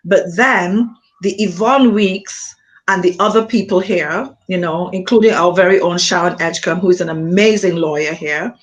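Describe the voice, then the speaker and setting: Reflective Montserratian woman, many pauses. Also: fairly low pitch, rough recording. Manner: thoughtful, pauses with frequent ums. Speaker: author, interview guest. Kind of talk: reflective conversation.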